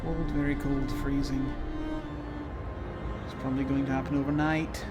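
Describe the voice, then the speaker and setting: A man casually thinking aloud while playing a strategy game, halting and chuckling to himself. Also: mid-range voice, little pitch movement, over loud music. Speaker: gameplay commentator. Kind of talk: casual let's-play commentary.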